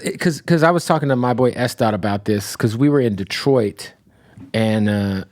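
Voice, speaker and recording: A man's voice: medium pitch, moderate pace, darkish tone. A man hosting a podcast, asking easygoing questions in a casual interview. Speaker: podcast host.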